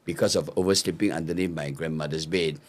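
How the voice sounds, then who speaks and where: An older man from Singapore, a podcast guest telling lively stories and mimicking hawkers' cries. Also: rumbling low, fast. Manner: lively storyteller, mimics market hawkers' calls. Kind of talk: storytelling interview answers.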